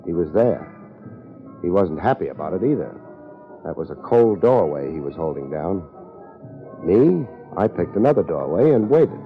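An actor playing a laid-back, tough American private investigator, wry and deadpan, in 1950s radio drama style. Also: male voice, sits low, normal speed, long pauses. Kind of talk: 1950s radio detective drama acting and narration. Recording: muffled old radio recording with loud music.

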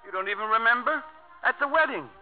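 An actor playing a resentful, henpecked married eldest son in a radio drama, whiny and hesitant in manner. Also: male voice, extremely high pitch, animated, fast. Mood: protesting. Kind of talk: 1950s radio drama acting. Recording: muffled 1950 radio broadcast, music behind.

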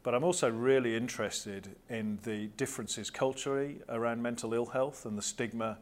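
A man's voice: medium pitch, moderate pace. A man, a guest and social enterprise founder in a podcast interview, speaking fluently and persuasively.

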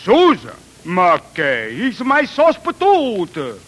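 High, bright Italian bandleader. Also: male voice, huge pitch swings, takes it slowly, exaggerated voice. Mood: hearty. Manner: broken English, exclamatory. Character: brusque, kindly Italian immigrant bandleader hiring musicians. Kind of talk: 1940s radio acting. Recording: old 1940s radio broadcast.

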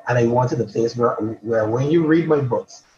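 A man from Barbados, a poet guest in a casual interview, talking haltingly with many restarts and repeated words. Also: medium pitch, dull audio.

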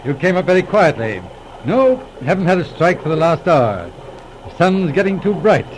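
An actor playing an astute, businesslike police inspector in a 1940s radio drama, composed and probing in manner. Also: male voice, high-pitched, big pitch swings, normal speed. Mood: genial. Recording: noisy old radio recording.

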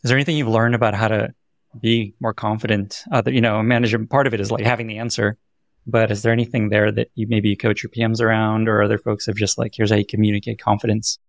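A man's voice: fairly low pitch, flat delivery, quick pace, creaky, dry recording, clean studio sound. A man hosting an interview podcast, asking questions in a conversational way. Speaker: podcast host.

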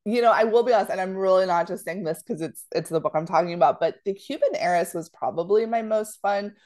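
A woman's voice: mid-range voice, fast, darkish tone. A woman author from Florida talking thoughtfully as a podcast guest, with many "you know" fillers. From Florida, United States. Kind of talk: casual interview chat.

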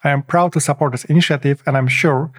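A man hosting a podcast interview, asking formal, slightly halting questions. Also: fairly high pitch, fairly even intonation. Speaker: podcast host.

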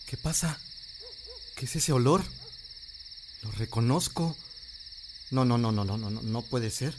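A man's voice: mid-range voice, unhurried, background noise, natural voice. An actor playing a grieving widowed father from rural Mexico in an audio drama, pleading and hesitant, with a Mexican accent. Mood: bewildered. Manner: pleading, hesitant, repeating himself.

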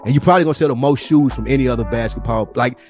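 A man's voice: mid-range voice, very fast, a little mellow, soft background music. A man hosting a podcast in casual, emphatic conversation, telling stories with frequent swearing. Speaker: podcast host. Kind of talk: casual chat.

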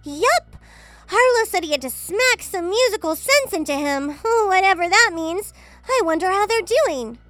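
A very high-voiced, perky young girl. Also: lively intonation, normal speed, a bit bright, no room sound. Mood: cheerful. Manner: perky, gushing. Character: sweet, excitable young girl pop singer. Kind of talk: children's comedy audio drama.

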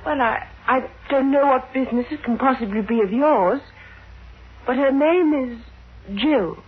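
Guarded proper mum on the phone, highish voice, thin hissy line, old radio play. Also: female voice, measured pace, narrow, tinny audio. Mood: wary, indignant. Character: guarded, proper middle-aged mother answering the telephone. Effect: telephone filter. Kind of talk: 1960s radio drama acting.

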